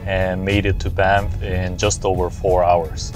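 A man with a low voice hosts a travel vlog in voice-over. He speaks slowly, in a casual and understated way. Music plays clearly behind him throughout. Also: level tone. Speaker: vlog host narrating a road trip.

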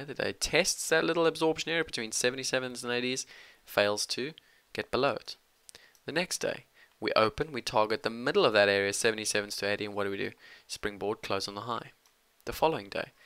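A man's voice: on the low side, brisk, bright, forceful tone, clean tone, no room sound. A middle-aged man giving a screen-share trading lesson, emphatic and punctuating his points with "okay". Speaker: trading instructor.

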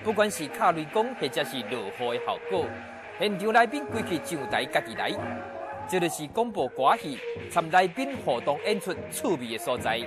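A woman's voice: fairly low pitch, sing-song, moderate pace. A woman with a Taiwanese accent reads scripted narration for a news feature as a reporter. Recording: loud music behind the voice. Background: loud music.

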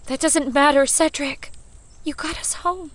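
A woman's voice: high voice, steady tone, measured pace. An actress playing an anguished but resolute woman survivor in an audio drama. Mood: tender, worried. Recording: clear outdoor ambience behind.

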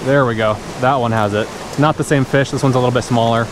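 Low-voiced woman by a rushing creek. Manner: chatty, frequent excited exclamations. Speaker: fishing vlogger. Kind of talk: casual narration while fishing. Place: outdoors beside a mountain creek. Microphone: point-of-view action camera. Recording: loud rushing creek noise. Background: rushing stream water.

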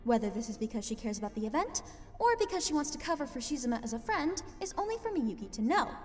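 A fairly high, lively voice, a woman's, narrates in a wry, matter-of-fact tone. A long reverb trails each line, with soft music behind. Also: quick pace. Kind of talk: fan dub acting.

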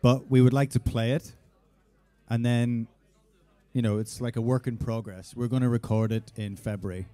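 A man's voice: medium pitch, fairly even intonation, normal speed, mellow. A man in his thirties, a band frontman, joking with the audience between songs on a small club stage, speaking into a stand mic. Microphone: vocal mic on a stand.

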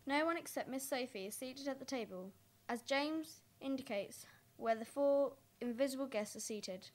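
A woman's voice: high voice, normal speed. A young woman reading scripted stage narration for a radio play. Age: young adult.

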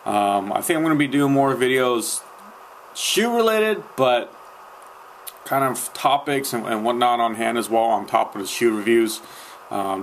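A man's voice: on the low side, a little hiss or hum, poor audio. A young man vlogging casually to a webcam in a small room at night, rambling with many fillers and restarts. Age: young adult. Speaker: sneaker vlogger. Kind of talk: casual vlog talk to camera. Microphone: webcam at close range.

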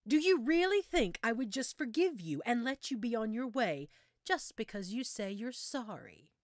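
A woman's voice: fairly high pitch, sing-song, moderate pace, no echo, studio quality. A woman playing a menacing, gloating female mad scientist in an audio roleplay, with a theatrical, taunting manner. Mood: mocking, amused. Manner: mocking, theatrical, taunting endearments. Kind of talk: audio roleplay acting addressed to listener.

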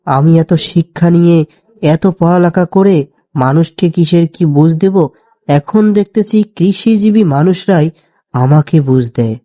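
A man acts out a downhearted young villager from a cartoon folk tale. His voice is fairly high and soft-edged, with an earnest, plaintive way of talking. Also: moderate pace, natural voice. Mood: dejected. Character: Rafiq, dejected educated jobless village young man.

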